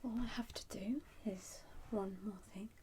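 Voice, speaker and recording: A woman doing an ASMR roleplay as a shopkeeper, whispering breathily and gently reassuring the listener as she narrates each step. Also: medium pitch, fairly even intonation, measured pace, slight background noise. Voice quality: breathy, mostly unvoiced whisper. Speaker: ASMR roleplay performer playing a soup shop keeper.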